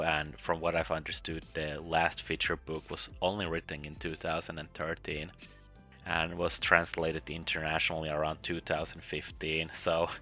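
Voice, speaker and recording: A very low-voiced man narrates a scripted book review. His tone is bright and forceful, and his delivery is wry and joking, with frequent chuckles. Faint music plays underneath. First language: Finnish.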